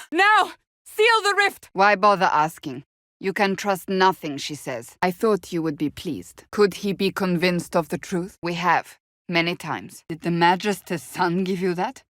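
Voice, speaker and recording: A stern fantasy game warrior woman with a lowish voice and a dry, close sound. She is blunt and devout, speaking in formal, clipped phrases with deadpan exasperation. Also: unhurried, dry recording. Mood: fierce, exerted. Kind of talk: fantasy video game voice acting.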